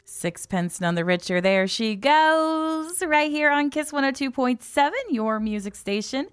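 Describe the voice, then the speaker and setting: A woman's voice: high-pitched, lively intonation, a little slow. A woman hosting morning radio on a music station, presenting live in a casual, chatty and giggly way. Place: local radio studio.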